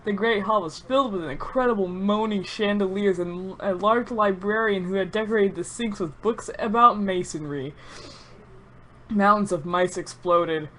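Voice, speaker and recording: A woman's voice: mid-range voice, normal speed, a bit bright, a little hiss or hum. Female reader, mock-grave storytelling of absurd fan fiction, prone to giggling. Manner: mock-serious storytelling, often breaking into giggles.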